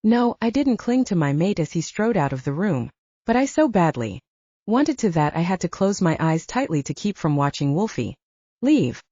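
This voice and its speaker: Female narrator for fiction audiobook: soft-edged mellow tone, animated melodic delivery, scripted storytelling. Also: on the low side, no room sound, highs cut off.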